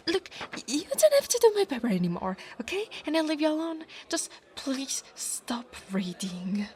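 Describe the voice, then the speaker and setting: A woman's voice: mid-range voice, lively intonation, takes it slowly, slight background noise. A woman playing an insecure, mocking young female dragon bully in an ASMR roleplay, with a taunting, drawling delivery. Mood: pleading, nervous. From Italy.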